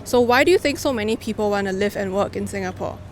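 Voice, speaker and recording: A woman hosting a street interview outdoors, asking clear, upbeat questions. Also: medium pitch, fast, slight background noise.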